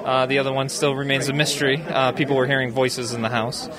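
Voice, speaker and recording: A man's voice: medium pitch, flat delivery, quick pace. An American man answering interview questions casually, hesitating and thinking aloud in an easygoing way. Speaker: interview guest, skeptics group founder. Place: busy convention venue. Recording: busy crowd chatter behind.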